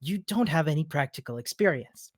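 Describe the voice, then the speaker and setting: A man's voice: a little high, expressive, a little fast, dry recording, clean studio sound. A man from Chile giving a conference talk as a personal story, in a friendly, informal manner. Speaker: conference speaker, software developer. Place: remote virtual meetup presentation.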